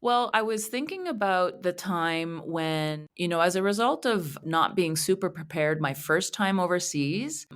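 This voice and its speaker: A middle-aged woman from Canada talks with a very low voice for a woman. She chats loosely, with plenty of fillers and restarts. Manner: chatty, many fillers and restarts. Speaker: guest consultant. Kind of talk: casual interview chat. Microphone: remote call.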